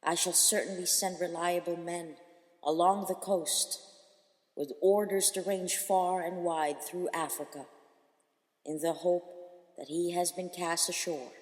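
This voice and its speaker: A woman's voice: lowish voice, steady tone, measured pace, voice pushed up, lightly acted. A woman voicing a regal, gracious queen who speaks in a stately, reassuring way. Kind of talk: reading an epic aloud. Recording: echoey, long hall reverb.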